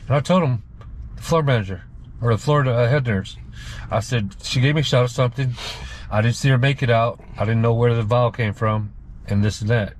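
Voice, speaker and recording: A man's voice: mid-range voice, brisk. A man riding as a passenger, telling a personal story in a casual, colloquial and emphatic way, often repeating himself. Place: inside a moving car. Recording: clear car cabin road noise.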